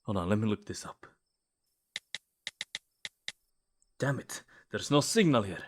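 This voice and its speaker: A man's voice: on the low side, animated, a little fast, slight background noise, natural voice. Man acting as a snide, possessive vampire suitor. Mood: flustered.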